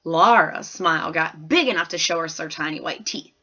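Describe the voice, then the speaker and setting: A woman's voice: low-pitched, normal speed, sharp tone, muffled recording, exaggerated voice. A woman voicing a chatty, frank ten-year-old girl who narrates a storybook in folksy, colloquial, rambling style. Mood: chatty, earnest. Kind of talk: first-person storybook read-aloud in character.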